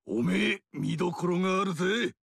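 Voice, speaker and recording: An actor playing a boisterous, burly barbarian king who shouts rough battle cries and boasts loudly in a video game. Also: high-pitched, moderate pace, no echo, clean studio sound, full character voice. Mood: hearty praise.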